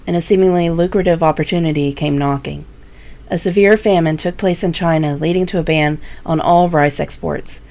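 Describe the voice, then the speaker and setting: A woman narrates as a storytelling host. Her voice is on the lower side, and her scripted delivery is formal and a little theatrical.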